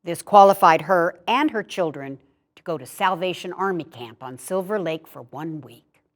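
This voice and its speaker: Female narrator for a first-person memoir reading, lower register, measured storytelling delivery. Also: expressive. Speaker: story reader.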